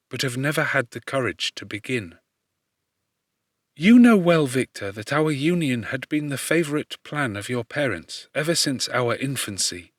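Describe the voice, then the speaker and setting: A man reads as a gentle young woman from a classic novel, voicing her letter. The delivery is earnest, careful and tenderly anxious. The recording is dry and free of room sound. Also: mid-range voice, dry recording, lightly acted.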